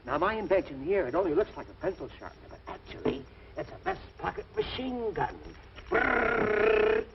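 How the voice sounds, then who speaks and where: An eager crackpot inventor in a 1940s comedy, a bit daffy. His delivery swings widely in pitch. The soundtrack is muffled and noisy. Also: sing-song, normal speed. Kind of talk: 1940s comedy film acting.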